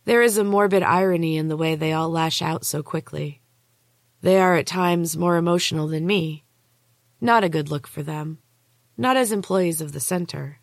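A woman reading a first-person story aloud as author and host, in a measured, hushed, dramatic narrating style. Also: low voice, no room sound, clean studio sound. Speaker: author and podcast host reading own book.

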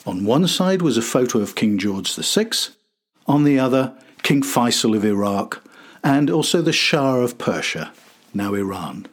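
An older man reads scripted documentary narration as a podcast host. His voice sits at an ordinary pitch and has a husky, slightly rough edge. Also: very animated, a little slow.

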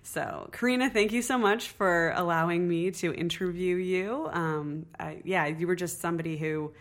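A woman's voice: on the low side, normal speed. A woman hosting a casual podcast interview, upbeat and curious, laughing often and asking lots of questions. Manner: enthusiastic, laughs often, asks many questions. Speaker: podcast host.

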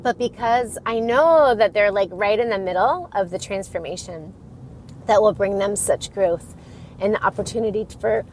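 A woman's voice: mid-range voice, normal speed, low-quality recording. A middle-aged woman hosting her own podcast, stuffy from a cold, talking in a rambling, chatty way and often laughing. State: congested from a cold. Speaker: podcast host and divorce coach. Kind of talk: casual solo podcast talk. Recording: audible background noise.

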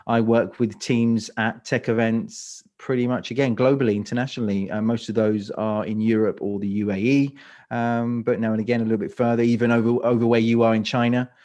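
A man's voice: medium pitch, flat delivery, brisk, mellow. A man with a London accent, a speaker coach answering interview questions, often restarting and repeating words as he thinks. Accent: London English. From England.

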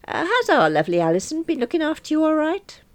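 Storybook boss, young man, genial, lilting, pitched up, read by a woman. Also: wildly swooping pitch, quick pace, no room sound. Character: cheerful young male office boss.

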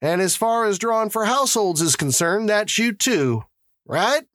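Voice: medium pitch, lively intonation, moderate pace, fairly bright tone, no echo, clean studio sound, voice pushed up, close to an everyday voice. A narrator voicing a male town official who gives orderly instructions in a formal, patient, civil way. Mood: formal, directing.

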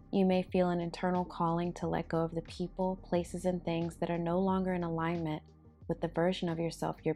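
A woman hosting a guided meditation, speaking in a calm, soothing, measured way. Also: lowish voice. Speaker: podcast host and meditation guide.

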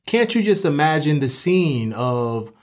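A man's voice: on the high side, sing-song, a little slow. A man co-hosting a literary podcast, reflective and scholarly but colloquial in his phrasing. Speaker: podcast co-host and professor.

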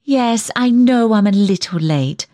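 An actress playing a snobbish, chatty village dressmaker and gossip in a comic monologue, fussy and full of insinuation. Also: female voice, medium pitch, animated, measured pace, mellow, no room sound, studio quality, close to an everyday voice. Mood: chatty, ingratiating. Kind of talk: comic dramatic monologue reading.

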